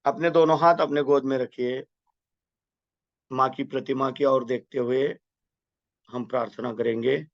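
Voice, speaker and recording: A man's voice: medium pitch, steady tone, measured pace, long pauses, dry recording. Man guiding meditation, dull dry recording. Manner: reverent, instructional. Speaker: meditation guide. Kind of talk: guided meditation with spoken prayers.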